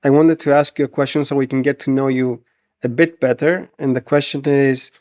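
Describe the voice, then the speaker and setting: A man hosting a podcast, asking relaxed, curious interview questions. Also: medium pitch, fairly quick. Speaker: podcast host.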